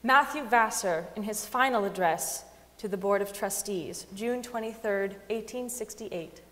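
A woman's voice: mid-range voice, measured pace, fairly bright tone. Woman reading in a reverberant hall. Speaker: reader in a staged reading. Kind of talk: dramatic reading. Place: large concert hall stage before an audience. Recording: long reverb of a concert hall.

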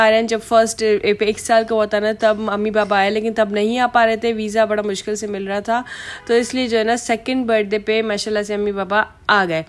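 A woman in her thirties from Pakistan, chatting to viewers in a casual family vlog. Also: fairly high pitch. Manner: chatty, addresses viewers as guys. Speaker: vlogger. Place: busy restaurant and party hall, indoors. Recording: loud crowd chatter behind.

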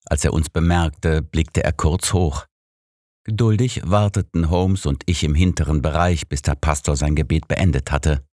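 A man's voice: rumbling low, normal speed, a little mellow, no echo, studio quality. A man narrating a story in a scripted audiobook read.

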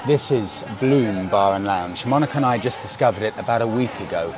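A man's voice: fairly low pitch, animated, a little fast, over loud music. A man in his thirties chatting enthusiastically to camera on a lapel mic, touring a seaside village and cafe. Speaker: travel vlogger. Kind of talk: casual travel vlog to camera. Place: outdoors on a seaside promenade and in a cafe. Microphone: clip-on lapel mic.

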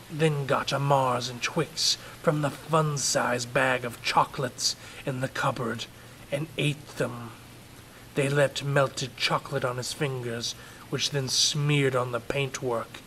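A male storyteller with a mid-pitched voice reads a scripted horror tale. A little noise sits behind his narration. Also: fairly bright tone, low-quality recording.

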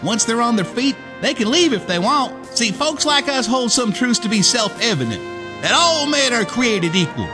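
Casting: wry young man, high voice, folksy drawl, idealistic radio-play hero. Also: big pitch swings, moderate pace. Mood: resolute. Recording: music under radio play dialogue.

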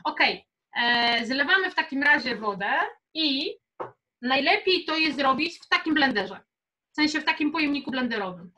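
A young woman speaks in a very high, bright voice. She is informal and chatty as she instructs a live cooking class from her home kitchen, encouraging people along as she goes. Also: lively intonation, normal speed. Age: young adult. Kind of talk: casual live cooking workshop.

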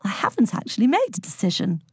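A woman voicing a timid, soft-hearted male headmaster in an audiobook, hesitant, apologetic and stammering. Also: mid-range voice, huge pitch swings, fast, slightly rough, no echo, studio quality, full character voice. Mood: flustered, hesitant.

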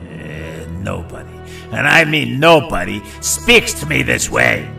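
An indignant crime lord, played by a man, speaks in a deep, very gravelly and sharp voice. He is proud and commanding, a bit far from the mic, in a comic-book drama. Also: big pitch swings, unhurried, soft background music, full character voice. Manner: indignant, imperious.

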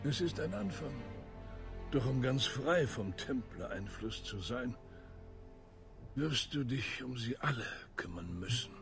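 A stern, gravelly old mentor. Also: male voice, medium pitch, expressive. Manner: stern, measured. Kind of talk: German video game dub. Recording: game audio with music behind.

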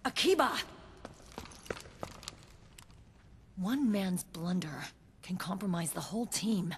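A woman's voice: on the low side, lively intonation, normal speed, a little hiss or hum, natural voice. An actress playing a confident, stern young female squad commander in a video game, with a firm, assured delivery. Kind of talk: video game cutscene acting.

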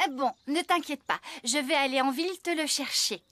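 An actress voicing a cheerful, kindly but bossy young woman farmer in a French children's cartoon, brisk and expressive. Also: high voice. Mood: friendly.

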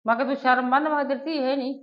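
An actress as a blunt, indignant Punjabi mother in an amateur family drama. She complains quickly in a high voice. The recording is dull and muffled. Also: steady tone, close to an everyday voice. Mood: complaining. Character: indignant, outspoken Punjabi mother of a married daughter. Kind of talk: amateur short-film family drama acting, conversational.

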